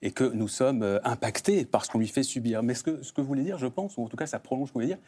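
Man, medium pitch, earnest, emphatic, repetitive build-up, unscripted intellectual debate. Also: quick pace, dark tone, breathy edge. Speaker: panel debater.

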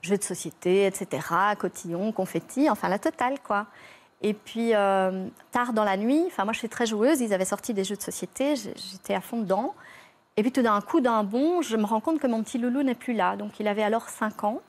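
A woman's voice: mid-range voice, fairly quick. Woman telling her story. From Belgium. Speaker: talk show guest. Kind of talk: personal testimony on a daytime talk show. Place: television studio.

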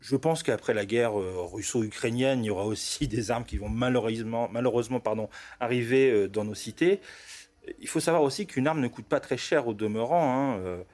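A man's voice: mid-range voice, a little fast, a little mellow. A man from northern France, a police union delegate, answering viewer questions in a studio debate with many fillers and restarts.

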